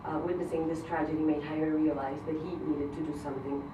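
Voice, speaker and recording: Woman reading out an earnest personal speech, pretty low and even voice, noisy room. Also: fairly quick, highs cut off. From Colombia. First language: Spanish. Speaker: grant recipient giving a speech. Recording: roomy, distant mic, noisy event hall.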